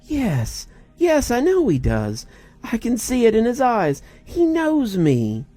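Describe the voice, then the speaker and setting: A man's voice: very high voice, huge pitch swings, slow pace, mellow, voice pushed up, exaggerated voice. A man voicing a grieving, desperately hopeful wife who coos and pleads in a quivering voice, as in a storybook reading. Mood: sorrowful hope. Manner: cooing, pleading. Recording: music behind the voice.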